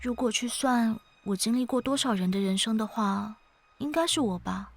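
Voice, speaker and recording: A woman playing an ageless, gentle and wistful ferrywoman of souls in an audio drama, speaking in a reflective, poetic way with a quiet teasing edge. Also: on the high side, normal speed, no echo. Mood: calm, teasing. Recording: studio voice over background music.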